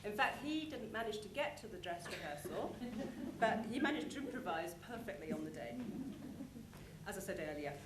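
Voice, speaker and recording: A woman giving an informal conference talk, chatty and anecdotal as she describes a show. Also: mid-range voice. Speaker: conference presenter. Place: conference hall. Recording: echoey room, clear background noise.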